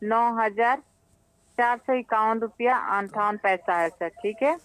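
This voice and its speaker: A smooth, clear-voiced woman with an Indian accent speaks as a customer service agent on a recorded phone call. She is polite and somewhat halting, going over figures again and often checking that the listener follows. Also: a little high, a bit bright. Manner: polite, repeatedly checks understanding. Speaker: loan company call centre agent.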